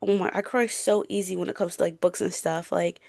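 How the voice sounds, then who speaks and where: A woman's voice: fairly low pitch, steady tone, brisk, gravelly, mellow, dry recording, studio quality. A woman chatting casually and emphatically about books as a podcast co-host, in an informal way. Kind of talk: casual book chat.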